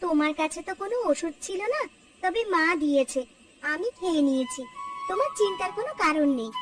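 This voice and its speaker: A woman's voice: very high-pitched, lively intonation, a little fast. A woman voicing a meek, grateful young daughter-in-law in a children's cartoon, earnest and plaintive. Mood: reassuring. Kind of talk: Bengali children's cartoon voice acting. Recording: background music under the voice.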